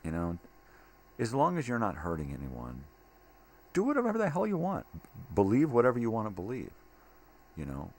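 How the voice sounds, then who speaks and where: A man's voice: lowish voice, huge pitch swings, moderate pace, long pauses. An older man rambling casually in a monologue, blunt, opinionated and given to swearing. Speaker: daily vlog host. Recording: clearly noisy home recording.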